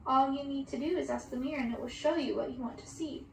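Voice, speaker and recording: A woman's voice: high voice, quick pace, vocal fry, darkish tone. A woman voicing a stern, cold enchantress in a storybook reading, solemn, commanding and menacing. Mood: firm. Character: stern, cold, angry enchantress laying a curse. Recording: distant roomy mic with background hiss.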